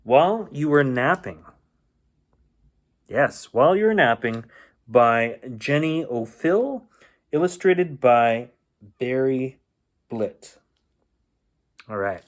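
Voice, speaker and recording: A man reading a children's storybook aloud in an expressive, playful storytelling manner. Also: mid-range voice, slow, pauses often. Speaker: bedtime story reader.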